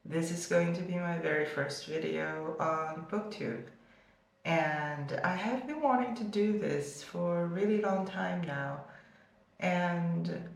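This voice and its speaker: A woman's voice: lowish voice, creaky. A young woman whose first language is Chinese, chatting casually about books in a vlog and filling her sentences with fillers and restarts. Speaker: booktuber. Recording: very echoey room, far from the mic.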